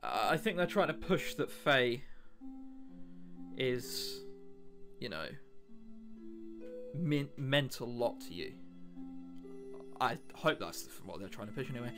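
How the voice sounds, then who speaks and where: A man's voice: mid-range voice, very animated, takes it slowly, pauses often, over music. A young man hosting a let's play, reading the game text aloud and making sarcastic, sweary remarks about the story.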